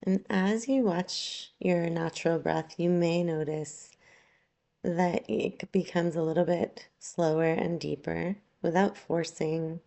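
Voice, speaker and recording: A woman leads a guided relaxation as a yoga teacher. Her voice is low and very slow, with a soft, mellow tone. She speaks gently and leaves long pauses between phrases. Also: creaky. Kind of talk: guided meditation, slow soothing instruction.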